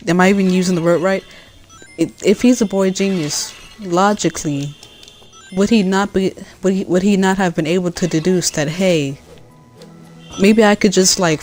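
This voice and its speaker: A woman from California with a deep voice, chatting casually as she plays a game and answers her chat, rambling with many fillers and restarts. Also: darkish tone. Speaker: gaming streamer.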